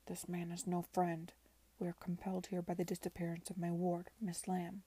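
A woman puts on a forceful gentleman of a period novel, suspicious and curt. The voice is low and husky, with a tight, commanding manner. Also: completely flat delivery, normal speed. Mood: tight, formal.

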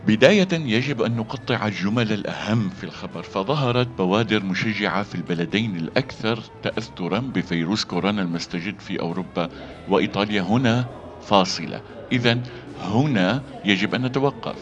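A man teaching a voice-over lesson, halting with fillers and restarts between read-aloud demonstrations. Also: on the low side. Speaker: voice acting coach. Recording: dull lo-fi sound with faint music.

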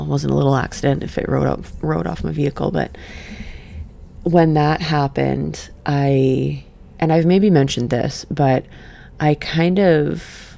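Sleepy, slow-talking woman with a gravelly voice. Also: sits low. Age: middle-aged. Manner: soft, slow and meandering, with sighs and fillers. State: sleepy, just woke up. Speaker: podcast host and session coach. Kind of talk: guided breathing then casual reflective talk.

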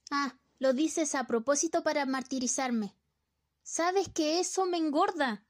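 A woman's voice: sits high, unhurried. A woman in a student radio play acting a distracted, teasing housewife, with an exaggerated, sing-song delivery. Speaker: radio drama actor.

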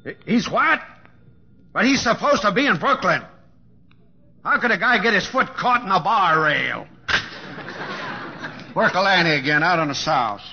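An actor playing an excitable, insistent show-business booking agent in an old-time radio drama. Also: male voice, high-pitched, a little slow, vocal fry, long pauses, a little hiss or hum, full character voice. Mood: exasperated. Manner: urgent, pushy, insistent. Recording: dull, echoey old radio recording.

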